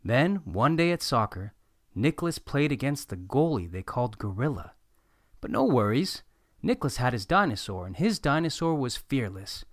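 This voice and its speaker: A man narrating a children's picture book aloud as a read-aloud host, in an animated, expressive storytelling manner. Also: on the high side, no room sound, studio quality.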